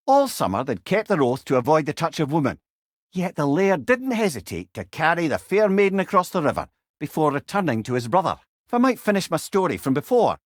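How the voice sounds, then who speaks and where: A man's voice: a little high, no room sound, clean studio sound. An actor playing a wise, witty old Scottish man, an avuncular storytelling companion in a video game, speaking in an articulate, wry way. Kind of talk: scripted video game voice lines.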